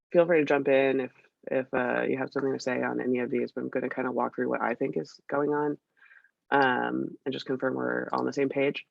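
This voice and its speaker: A woman's voice: rumbling low, vocal fry, no echo. A woman with a scratchy voice from a cold, giving a chatty, hesitant update with many fillers on a video call. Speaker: meeting presenter. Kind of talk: casual work meeting update. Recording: dull, dry video-call audio.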